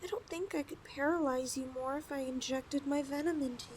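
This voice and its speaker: A woman's voice: sits high, steady tone, brisk, background noise, natural voice. A woman playing a possessive, teasing snake girl in an ASMR audio roleplay, with a coy, cooing delivery. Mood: teasing, menacing. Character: possessive, teasing young yandere lamia girl, half snake.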